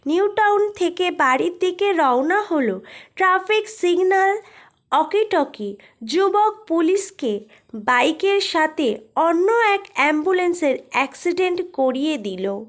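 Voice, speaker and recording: Slow, very high-pitched woman storyteller. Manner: theatrical, suspenseful. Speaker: story narrator. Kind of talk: dramatic thriller storytelling.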